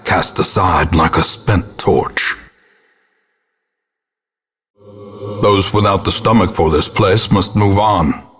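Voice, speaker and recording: An actor voicing a grim, guilt-ridden old ancestor who narrates a gothic horror game in solemn, ornate, theatrical phrases with a husky voice. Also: male voice, sits low, a bit bright, full character voice. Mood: grave, contemptuous. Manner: solemn, ornate, deliberate dramatic delivery.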